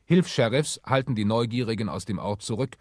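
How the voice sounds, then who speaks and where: A man's voice: lowish voice, animated, fairly quick, no room sound, studio quality. A man narrating an adventure radio play as its scripted storyteller. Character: storyteller of an adventure audio drama.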